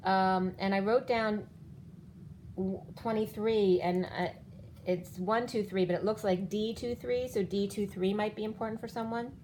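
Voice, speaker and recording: A woman's voice: medium pitch, fairly even intonation, measured pace, a little mellow, a little hiss or hum. A woman in her fifties giving a rambling spiritual tarot reading, walking through cards one by one while her voice keeps giving out. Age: 50s. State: losing her voice. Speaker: tarot reader.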